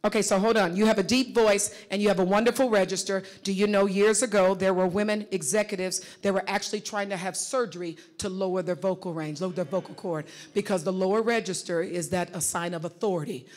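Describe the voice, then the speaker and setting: A middle-aged woman leads a workshop from a conference stage, talking into a handheld microphone. She coaches her audience in a lively, direct way, with an ordinary middle pitch. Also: fast, hardly any pauses. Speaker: workshop facilitator. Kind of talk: live seminar coaching. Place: large conference hall stage. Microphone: handheld mic.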